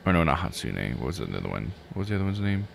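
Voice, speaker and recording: A man's voice: low voice, very animated, rapid-fire, creaky, noisy background. A man giving casual live game commentary, thinking aloud in a musing, self-questioning way.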